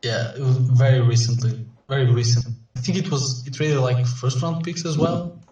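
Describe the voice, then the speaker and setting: A man's voice: medium pitch, steady tone, normal speed, mellow, echoey room, far from the mic, low-quality recording. A man chatting casually and a little wryly as a panellist on a sports podcast.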